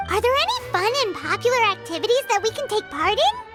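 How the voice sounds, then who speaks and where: A woman's voice: very high voice, huge pitch swings, a little fast, heavily acted. A woman voicing a small, chatty female companion creature in a game, who speaks of herself in the third person. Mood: curious. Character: tiny floating female companion, chatty, excitable. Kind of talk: game voice acting. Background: game music.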